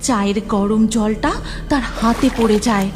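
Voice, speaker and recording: A woman's voice: fairly high pitch, steady tone, measured pace. A woman narrating a scripted cartoon story as storyteller.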